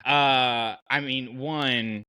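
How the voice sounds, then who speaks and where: Male podcast host, bright and punchy, very dry sound, casually arguing his case in everyday slang. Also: mid-range voice, fairly even intonation, drawn-out words, smooth, no room sound. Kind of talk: casual fan podcast discussion.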